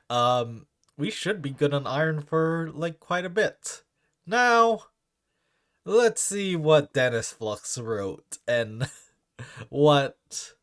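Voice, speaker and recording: A young man with a deep voice chatting casually while opening gifts. He stammers and restarts his words often. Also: a bit bright. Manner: stammering, repeats words, halting. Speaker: Minecraft content creator.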